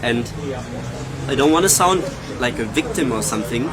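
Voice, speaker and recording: Man, 30s, earnest and reflective, mid-pitched voice, city traffic and heavy crowd noise behind. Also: expressive, normal speed. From Germany. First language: German. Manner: earnest, thoughtful, searching for words. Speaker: interviewee. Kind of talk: reflective personal testimony. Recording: loud crowd noise outdoors.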